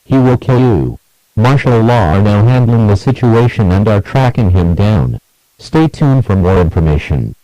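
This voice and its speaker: A man reading a scripted emergency alert as an announcer, in a measured, even manner through lists and warnings. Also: lowish voice, dry recording, rough recording.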